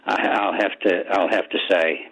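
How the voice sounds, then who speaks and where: A man calling in to a radio show, earnestly praising the host and pausing often as he speaks. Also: low-pitched, fairly even intonation, vocal fry, a bit bright, husky. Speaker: caller. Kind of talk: phone call to a radio show. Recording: muffled phone line.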